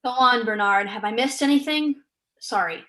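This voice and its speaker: A student actress playing a brisk, dry-witted, impatient female researcher in a staged play reading. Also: a little high, pushed and bright. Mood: sheepish. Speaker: student actor.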